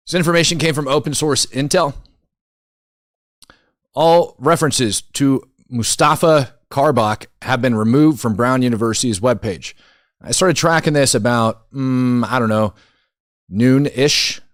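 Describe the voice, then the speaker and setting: A man's voice: mid-range voice, no echo, studio quality. Man giving emphatic, casual news commentary. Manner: conversational, incredulous, emphatic repetition. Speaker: commentary show host.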